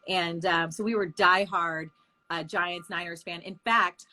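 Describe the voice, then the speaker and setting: A woman in her thirties from the Bay Area, a real estate agent and podcast guest, telling candid, animated stories and laughing often. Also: fairly low pitch, unhurried. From San Francisco Bay Area. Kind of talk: casual interview chat.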